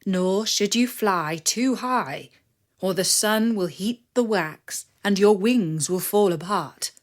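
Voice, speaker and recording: A woman voicing a protective father from Greek myth in a storybook, instructing his son in a grave, deliberate manner. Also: medium pitch, natural voice. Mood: grave, cautioning.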